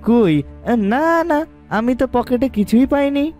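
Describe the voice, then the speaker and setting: A woman's voice: high-pitched, lively intonation, slow pace, warm tone. A woman voicing a naive, easygoing young village husband in a cartoon. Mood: puzzled, evasive. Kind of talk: cartoon character acting. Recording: music behind the voice.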